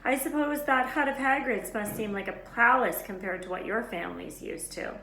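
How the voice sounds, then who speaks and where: A cold, snobbish schoolboy acted by a woman reader, drawling his taunts with haughty scorn. The voice sits higher and has a bright edge in a roomy space. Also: normal speed, a little hiss or hum. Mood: sneering.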